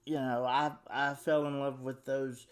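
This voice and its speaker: A man's voice: mid-range voice, flat delivery, unhurried. Eager male interview host. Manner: enthusiastic, repeats words. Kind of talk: casual interview chat.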